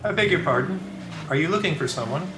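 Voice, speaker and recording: A man playing a kindly, earnest young gentleman in an old-time radio drama. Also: on the high side, lively intonation, fast, background noise, lightly acted. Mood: concerned, insistent. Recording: roomy home video-call audio.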